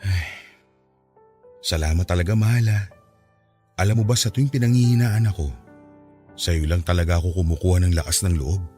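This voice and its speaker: A man's voice: low-pitched, fairly quick, long pauses, dark tone, close to an everyday voice. A man playing an earnest, affectionate middle-aged farmer, a strict father and sweet husband, in sentimental radio drama style. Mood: apologetic, grateful. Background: faint music.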